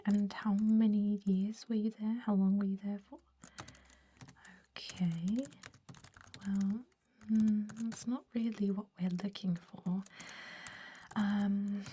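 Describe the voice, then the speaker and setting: A woman's voice: mid-range voice, warm tone, slight background noise, close to an everyday voice. A woman playing a centuries-old, fussy vampire recruiter in an ASMR roleplay, whispering with a hesitant, politely dismissive manner. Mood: politely unimpressed.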